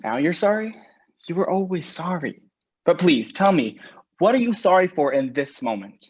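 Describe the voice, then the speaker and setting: A man's voice: a little high, sing-song, moderate pace, breathy edge. A man playing a bitter, cursing groom. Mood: weary. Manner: sharp, sarcastic, swears often. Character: bitter, cursing groom on his wedding day. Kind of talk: staged play reading over video call. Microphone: video call. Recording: muffled video call audio.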